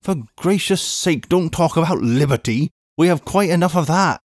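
A man voicing a brisk, fiercely loyal older English spinster in an audiobook, speaking emphatically. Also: fairly high pitch, lively intonation, no echo, studio quality, pitched above the performer's own voice. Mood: indignant. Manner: emphatic, bustling.

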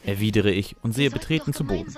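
Low-voiced young man narrating a story. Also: fast talker, darkish tone. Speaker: narrator and reader of a fan fiction. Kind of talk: first-person scripted narration with acted dialogue. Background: background music.

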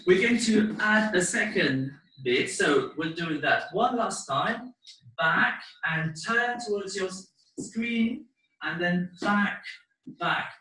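A man's voice: sits high, animated, takes it slowly, pushed and bright. A man teaching a live online dance class from his living room, giving step-by-step instructions in an encouraging way. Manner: encouraging, hesitant between instructions. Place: living room at home, indoors. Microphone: laptop or webcam mic across the room. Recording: roomy, distant microphone.

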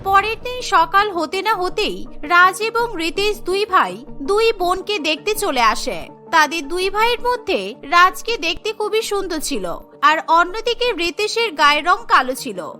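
A woman's voice: extremely high pitch, very animated, unhurried, sharp tone, faint music behind. A woman narrating a scripted cartoon story as its storyteller.

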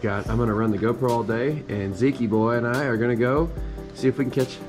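An upbeat, chatty man in his thirties, explaining his fishing gear to an action camera on a boat. His voice sits at an ordinary middle pitch. Clear background music runs under his talk. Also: steady tone, brisk. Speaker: fishing vlogger and father. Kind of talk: casual on-camera chat and voice-over narration. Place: outdoors on a lake boat and in a carport. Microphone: action camera mounted on the boat.

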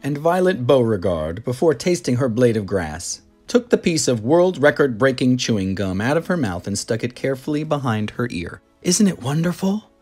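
An expressive narrator reads a children's story aloud. The delivery is dramatic, with lively swings in pitch, and music plays underneath. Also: normal speed, hardly any pauses.

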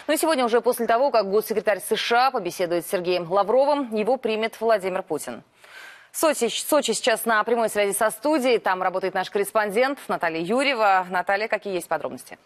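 A middle-aged woman anchoring the news in a television studio, reading a crisp script. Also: mid-range voice, quick pace.

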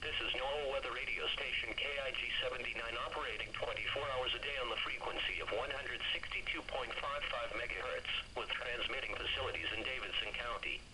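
A man's synthetic computer voice reading scripted weather announcements in formal, stilted phrasing, heard through a radio. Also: mid-range voice. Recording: noisy weather radio speaker, re-recorded. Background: radio static and noise.

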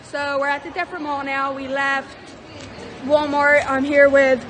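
Young female vlogger, high register, casual teasing chat, handheld sound with crowd bustle behind. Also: little pitch movement, a little slow. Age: young adult. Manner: playful, teasing. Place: busy stores, mall and parking lot. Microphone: handheld camera at arm's length. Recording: noisy handheld recording with crowd chatter.

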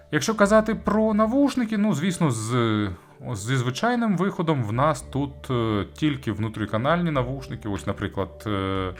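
A man giving a casual gear review to viewers in a halting way, often restarting and correcting his words. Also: on the low side. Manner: halting, with stammered false starts and self-corrections.

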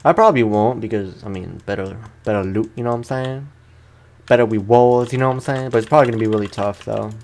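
A man's voice: on the low side, quick pace, darkish tone, a little hiss or hum. A man giving casual, rambling, slangy commentary as he plays a video game for his viewers. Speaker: gaming streamer. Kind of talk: casual let's play commentary.

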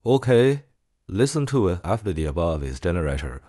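A man presenting a software tutorial in a casual way. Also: deep voice, very animated, moderate pace, dry recording, clean studio sound.

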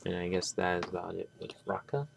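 A man with a low voice full of vocal fry talks through an unscripted hands-on toy review. His delivery is halting and rambling, with phrases that often trail off before they are finished. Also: lively intonation, background noise. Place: indoors at a tabletop.

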